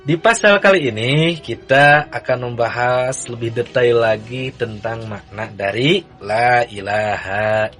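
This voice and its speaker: A man leads a beginners' lesson on a religious text, unhurried and easygoing. He speaks slowly at a middling pitch, with faint music playing softly behind him. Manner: relaxed, chanting the text lines in a sung recitation. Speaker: religious teacher. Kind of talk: kitab reading lesson.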